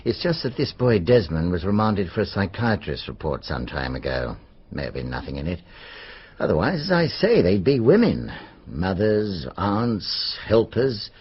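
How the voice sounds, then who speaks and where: Retired British police chief, older, low husky voice, musing, practical, radio drama. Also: male voice, big pitch swings, moderate pace, full character voice. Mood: speculative. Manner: informal, musing. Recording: slightly dull radio recording.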